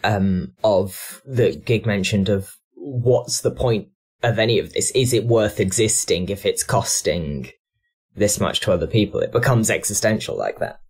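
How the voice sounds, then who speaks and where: A man's voice: lowish voice, a little slow, a little mellow, slightly rough. A man talking reflectively and analytically as a panellist on a discussion podcast. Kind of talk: discussion and review podcast talk.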